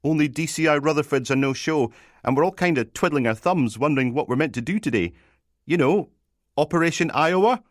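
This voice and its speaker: A man's voice: on the high side, lively intonation, a little fast, no echo, voice pushed up, close to an everyday voice. An eager young police constable, as a male audiobook narrator puts him on. He speaks in a raised, chirpy voice with sheepish hesitation and plenty of deference. The sound is close and dry. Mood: anxious, eager.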